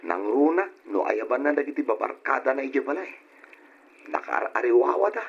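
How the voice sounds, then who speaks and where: A man's voice: medium pitch, very animated, normal speed, gravelly, husky, heavily acted. A man playing a respectful, troubled young grandson in a radio drama, complaining earnestly about his home life. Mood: earnest, aggrieved. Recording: dull, lo-fi old radio recording.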